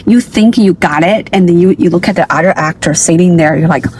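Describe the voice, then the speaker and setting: A chatty woman hosts a relaxed interview, her voice sitting a little low. She rushes through phrases in quick bursts and leans on fillers. There is faint noise behind her. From China. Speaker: podcast host. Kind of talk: casual interview chat.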